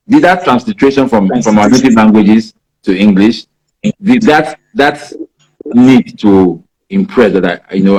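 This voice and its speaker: A Nigerian man in his fifties, a native Igbo speaker, answers interview questions about writing in a rambling way, filling pauses with "you know". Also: low voice, darkish tone, low-quality recording. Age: 50s. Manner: rambling, many "you know" fillers and restarts. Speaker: writer being interviewed.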